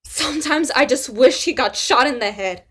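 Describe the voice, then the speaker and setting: Brief: high-voiced actress playing a grieving young mother-to-be, raw and halting. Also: expressive, slightly rough, exaggerated voice. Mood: anguished. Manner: halting, trailing into quiet, near-whispered confessions. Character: grieving young pregnant woman, frightened and raw. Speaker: actor in a staged play reading. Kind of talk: staged play reading.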